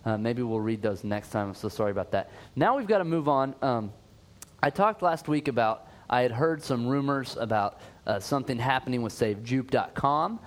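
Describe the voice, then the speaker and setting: A male podcast co-host in relaxed, upbeat chat. He talks in loose, rambling runs with frequent fillers and restarts. His voice sits at an ordinary middle pitch. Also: moderate pace. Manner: chatty, filler-heavy, upbeat.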